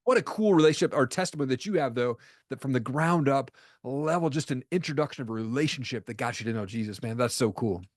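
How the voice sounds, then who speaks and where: A man's voice: mid-range voice, expressive, quick pace, no room sound. Upbeat, casual man with a dry sound. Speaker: podcast host. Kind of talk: casual interview chat.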